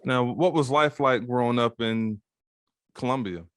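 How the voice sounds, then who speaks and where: A man hosting a podcast, welcoming and questioning his guest in a relaxed, friendly way. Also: medium pitch, a little slow, dry recording. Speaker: podcast host. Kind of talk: casual interview hosting.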